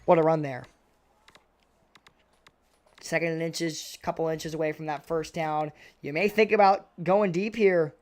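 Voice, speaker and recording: A young man doing play-by-play commentary on a video game football match, excitable and a little raspy, his voice jumping on big plays. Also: a little slow, pauses often. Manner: excitable, voice jumps high and loud on big plays.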